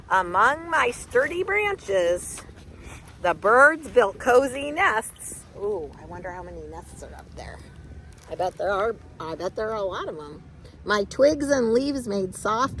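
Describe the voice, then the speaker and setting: A woman reading a picture book aloud to young children in an expressive, chatty way with casual asides and exclamations. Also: a little high, slight background noise, rough recording.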